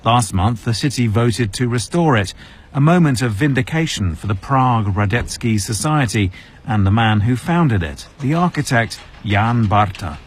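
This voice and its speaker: A man reporting as a news correspondent in a measured, scripted narration. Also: mid-range voice, lively intonation, slightly rough.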